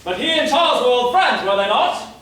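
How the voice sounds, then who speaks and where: An actor playing a probing, easily exasperated police inspector, speaking with theatrical pauses in a staged period murder mystery. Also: male voice, extremely high pitch, lively intonation, fairly bright tone. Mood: brisk, businesslike. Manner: theatrical, deliberate, old-fashioned phrasing with dramatic pauses. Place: live staged reading in an echoey room. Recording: echoey room, distant mic, faint noise.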